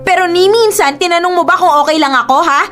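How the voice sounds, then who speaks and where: High-pitched, aggrieved young Filipina girlfriend. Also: fast, over music. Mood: angry, hurt. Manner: emotional, reproachful. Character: hurt, indignant young girlfriend pleading with her boyfriend. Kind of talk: Filipino radio drama acting.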